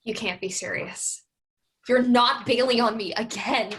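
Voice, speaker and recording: A woman's voice: fairly high pitch, measured pace, a bit bright, slight background noise. A woman playing a frustrated, resentful grown daughter in a staged reading of a play. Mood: hurt.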